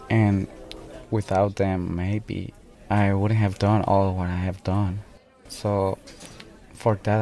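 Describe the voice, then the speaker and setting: A man's voice: on the low side, creaky, mellow. Earnest young man. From El Salvador. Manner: halting, earnest, pauses often mid-sentence. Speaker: student. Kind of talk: personal testimony in a documentary video.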